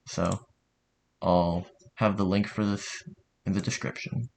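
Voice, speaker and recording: Tutorial host: man, lowish voice, casual and halting delivery, slightly noisy desk recording with typing behind. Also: steady tone, gravelly. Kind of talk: casual software tutorial narration.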